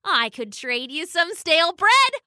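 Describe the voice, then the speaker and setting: A woman playing a very high, bubbly little duck. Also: sing-song, measured pace, sharp tone, no room sound, clean studio sound, full character voice. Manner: bubbly and giggly. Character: plucky, silly chubby female duck.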